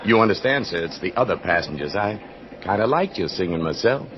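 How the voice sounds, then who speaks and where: Deferential train attendant, very low animated voice, apologetic, vintage radio drama. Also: male voice, fairly quick. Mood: apologetic, confiding. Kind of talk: old-time radio drama acting. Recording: dull, noisy old radio recording.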